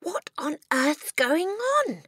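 A woman voicing a bright, eager, outspoken little girl in a storybook reading. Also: fairly high pitch, huge pitch swings, normal speed, vocal fry, breathy edge, no echo, studio quality, pitched above the performer's own voice, full character voice. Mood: distressed, pleading.

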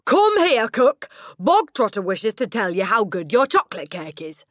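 A tyrannical storybook headmistress. She is theatrical and menacing, with wide swings in pitch and drawn-out, barked emphasis. The recording is close and dry. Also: sing-song, dry recording, full character voice. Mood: commanding. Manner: booming, barking insults with drawn-out emphasis. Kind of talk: audiobook character acting.

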